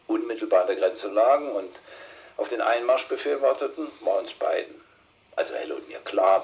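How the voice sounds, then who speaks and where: On a dull tape recording, a very deep, creaky man speaks as a self-assured film producer. He explains his army past casually, in radio drama style. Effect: played-back tape recording. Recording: dull taped interview with background noise.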